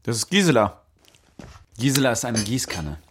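An actor playing a gentle, whimsical, bookish young man in intimate radio play dialogue. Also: mid-range voice, sing-song, moderate pace, heavy background noise, lightly acted.

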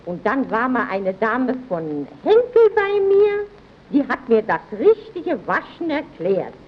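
An actress playing a proud, chatty, assured housewife who gives advice in a scripted vintage radio advert. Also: female voice, a little high, huge pitch swings, slow pace, exaggerated voice. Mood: self-assured. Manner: assured, didactic. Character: proud, chatty housewife and mother praising detergent. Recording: dull early radio recording.

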